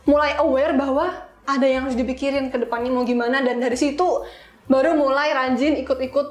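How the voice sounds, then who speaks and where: A woman's voice: high voice, fairly even intonation, brisk. A young woman telling stories casually as an interview guest, chatty and slangy with English words mixed in. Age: young adult. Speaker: interview guest, iOS developer. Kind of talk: casual conversational storytelling. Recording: roomy, echoey sound.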